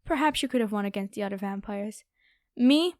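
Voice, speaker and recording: A woman's voice: mid-range voice, brisk, dry recording, clean studio sound, lightly acted. A woman playing a haughty, predatory noble vampire girl in an ASMR roleplay, with a taunting, playful, theatrical delivery. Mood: mocking, domineering.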